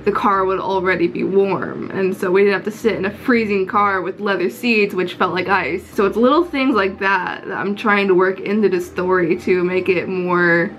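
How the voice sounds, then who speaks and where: A woman's voice: mid-range voice, fairly even intonation, normal speed, hardly any pauses, a bit bright, slight background noise, poor audio. A young American woman from Florida chatting candidly and informally in a casual vlog. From Florida, United States. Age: young adult. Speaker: vlogger and visual novel developer. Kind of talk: casual vlog chat about own project. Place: small indoor home room.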